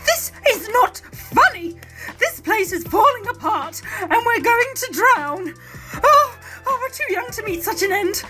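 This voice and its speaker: Melodramatic mother, very high and swooping, shrill, histrionic, audio drama. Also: very animated, unhurried, over music, full character voice. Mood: hysterical panic. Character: panicky, melodramatic woman, proud mother of Logan.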